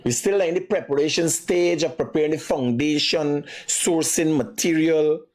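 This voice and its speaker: A man's voice: a little high, normal speed, darkish tone. A man with a Guyanese accent hosting a live commentary show, speaking emphatically and repeating himself in an accusatory way. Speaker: live morning show host.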